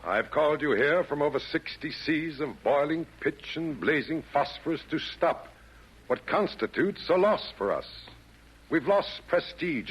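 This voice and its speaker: An actor playing a pompous Devil chairing a meeting of demons, theatrical and oratorical in a 1940s radio style. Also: male voice, on the high side, fairly bright tone, slightly rough, exaggerated voice, poor audio. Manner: theatrical, oratorical, rhymed delivery. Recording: old 1940s radio broadcast.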